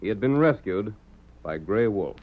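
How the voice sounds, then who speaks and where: A man's voice: on the low side, lively intonation, moderate pace. A man narrating a radio western as announcer, in dramatic scripted 1930s style. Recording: muffled, band-limited 1930s radio recording.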